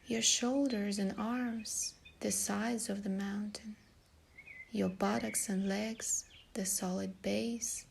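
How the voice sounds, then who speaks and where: A woman guides a meditation at a very slow, unhurried pace. Her delivery is soft, breathy and soothing, with a clear creak in the voice. There is faint noise in the background of the recording. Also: medium pitch, fairly even intonation.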